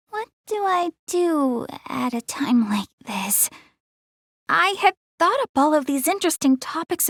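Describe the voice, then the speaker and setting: A woman's voice: extremely high pitch, a bit bright, dry recording, clean studio sound, exaggerated voice. A woman voicing a young, proud but easily flustered goddess girl in a video game, earnest and self-conscious, often backtracking. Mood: nervous, flustered. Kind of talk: English video game voice acting.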